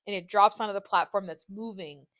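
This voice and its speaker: A young adult woman hosting a podcast in a casual chat, giggly and animated as she tells stories with slangy exclamations. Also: mid-range voice, a little fast, dry recording. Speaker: podcast host. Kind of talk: casual chat, reminiscing with a friend.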